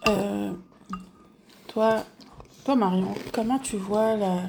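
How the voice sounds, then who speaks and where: A woman with a Quebec French accent, interviewing gently with fillers. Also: medium pitch, fairly even intonation, gravelly, noisy background. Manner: slow, gentle questioning with fillers. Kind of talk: casual interview.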